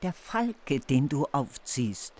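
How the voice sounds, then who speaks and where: Slow, swooping grave queen mother. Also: a bass voice, big pitch swings, a little mellow, full character voice. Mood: grave warning. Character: grave mother queen interpreting a prophetic dream. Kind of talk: radio-play acting.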